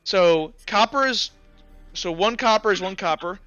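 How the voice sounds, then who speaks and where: High-voiced, bright male game master. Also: clean tone, dry recording. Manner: halting, thinking out loud. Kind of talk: casual tabletop game talk. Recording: slightly dull, music behind. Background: background music.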